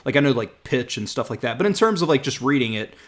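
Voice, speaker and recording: A man's voice: medium pitch, big pitch swings, quick pace. A man hosting a podcast in casual chat, often repeating words and trailing off with fillers. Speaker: podcast host.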